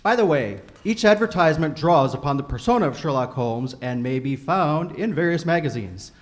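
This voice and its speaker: A man playing a polished 1940s radio announcer and sponsor pitchman, reading formal, theatrical scripted copy. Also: lively intonation, normal speed, natural voice. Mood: formal, inviting.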